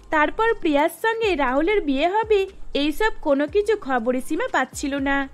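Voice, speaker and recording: A woman narrating a scripted cartoon story. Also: high voice.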